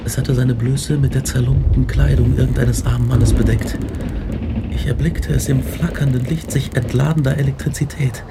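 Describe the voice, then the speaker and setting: Ein Schauspieler spielt in einem Hörspiel einen gequälten, reumütigen jungen Wissenschaftler, der ernst und grüblerisch in literarischer Sprache erzählt. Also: male voice, mid-range voice, steady tone, normal speed, vocal fry, heavy background noise, natural voice. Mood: haunted, grave. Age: young adult. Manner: brooding, literary, earnest. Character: haunted, remorseful young male scientist. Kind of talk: radio drama narration and dialogue.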